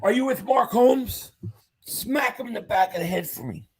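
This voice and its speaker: A man's voice: extremely high pitch, normal speed. A man hosting a casual sports livestream, reading viewer comments aloud as an upbeat fan while he loses his voice. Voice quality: husky, fading voice. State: losing his voice. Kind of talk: casual live-chat commentary.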